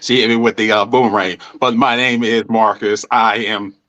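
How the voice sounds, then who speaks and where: Bright, easygoing man in his thirties. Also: fairly low pitch, moderate pace. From Birmingham metro area. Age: 30s. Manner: easygoing, relaxed self-introduction. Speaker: panel guest.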